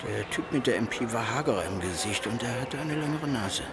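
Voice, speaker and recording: Man playing defensive vendor on loud street. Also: mid-range voice, expressive, brisk, close to an everyday voice. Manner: colloquial, defensive. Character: defensive candy-stand vendor questioned as witness. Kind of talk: radio crime drama acting. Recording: very noisy city street ambience.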